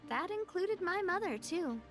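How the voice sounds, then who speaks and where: An actress voicing a young woman who heads a family organization in a video game, speaking in a warm, frank and reflective way. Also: extremely high pitch, lively intonation, unhurried, over music. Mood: wry.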